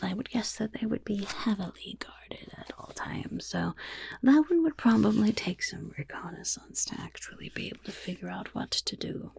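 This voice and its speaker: A woman's voice: medium pitch, exaggerated voice. A woman playing a chatty, roguish goblin guild member in a whispered ASMR fantasy roleplay, with casual, conspiratorial delivery and wry asides. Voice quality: breathy, whispery.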